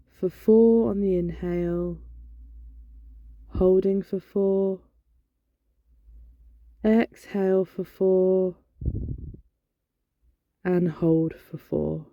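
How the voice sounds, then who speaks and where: A woman leading a guided body scan meditation in a gentle, soothing, instructional manner. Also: medium pitch. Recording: dull, lo-fi, roomy echo.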